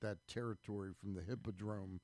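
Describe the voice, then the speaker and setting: A man's voice: lowish voice, fairly even intonation, fairly quick, darkish tone, no echo. A man talking conversationally as a commentator on a sports radio show in a studio.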